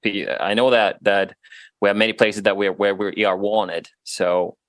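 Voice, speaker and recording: A man in his thirties from Finland, Swedish as his first language, chatting casually as a guest with frequent laughs and halting restarts. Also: on the low side, fairly even intonation, a bit bright, no room sound. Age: 30s. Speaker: guest musician. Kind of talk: casual interview chat.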